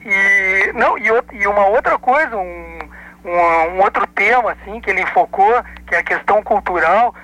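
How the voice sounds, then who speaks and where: A man's voice: high-pitched, moderate pace, sharp tone, rough recording. A man from southern Brazil with a Gaúcho accent, calling in to a radio show and speaking hesitantly with many fillers and restarts. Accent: Gaúcho Brazilian Portuguese. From Rio Grande do Sul, Brazil. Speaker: radio caller. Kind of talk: opinionated call-in chat.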